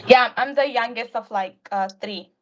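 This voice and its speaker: A woman's voice: a little high, moderate pace, a bit bright. A young adult woman with a South African accent chatting casually on a video call, rambling along with lots of filler "like". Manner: rambling, frequent "like". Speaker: livestream guest, university student. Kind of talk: casual chat.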